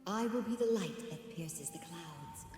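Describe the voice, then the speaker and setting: A woman's voice: medium pitch, expressive, a little slow, darkish tone, faint music behind. A woman voicing a solemn celestial goddess of light in a video game, grave and declamatory, through an echoing filter. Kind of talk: video game character voice lines. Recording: heavy echo with long reverb.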